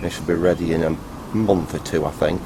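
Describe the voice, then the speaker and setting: A man giving a casual, unscripted, matter-of-fact video tour in a halting, rambling way. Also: low voice, fairly even intonation, background noise. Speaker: vlogger, hobbyist restorer.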